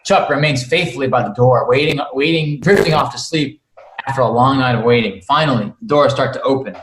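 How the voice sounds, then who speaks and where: A man's voice: medium pitch, a little fast, a bit bright, a little hiss or hum. A man reading stage directions aloud as screenplay narration at a cast table read. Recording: echoey room.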